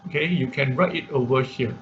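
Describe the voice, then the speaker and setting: A dull, slightly muffled online-class recording of a man with a medium-pitched voice. He teaches informally, step by step, halting and repeating himself, often tagging on a short question to check understanding. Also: a little hiss or hum. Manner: halting, repetitive explaining with frequent tag questions. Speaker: instructor of an online robotics class. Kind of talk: informal live tutorial with screen demonstration.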